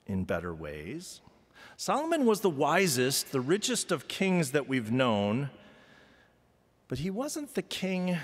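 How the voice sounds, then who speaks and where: A middle-aged man preaching a chapel sermon in measured, emphatic phrases with deliberate pauses. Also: medium pitch. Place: large chapel, indoors at a pulpit. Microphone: gooseneck lectern microphone. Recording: reverberant hall with long echo.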